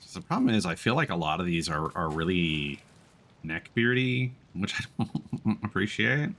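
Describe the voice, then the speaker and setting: A man chatting casually as he live-streams a game, musing aloud in an unhurried way. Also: sits low, very animated, fairly bright tone.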